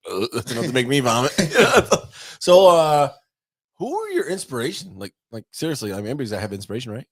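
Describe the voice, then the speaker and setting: Lively male podcast co-host, dry sound. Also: medium pitch, dry recording. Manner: upbeat, quick questions and jokes. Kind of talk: casual podcast chat.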